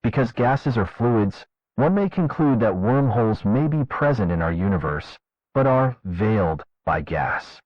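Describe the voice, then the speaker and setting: A man narrating a fictional scientific government report in a formal, measured, clinical manner. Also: on the low side, big pitch swings, dry recording. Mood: formal, detached. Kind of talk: scripted documentary narration, analog horror. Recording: dull lo-fi narration track.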